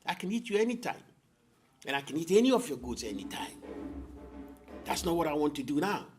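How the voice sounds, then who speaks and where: A wicked leopard, acted out by a male storyteller, cunning and hungry, wheedling for what he wants in a fairly high voice. He repeats himself insistently, in the manner of a spoken folktale, with music in the recording. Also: fairly quick. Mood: protesting, cajoling. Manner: pleading, repeats words excitedly.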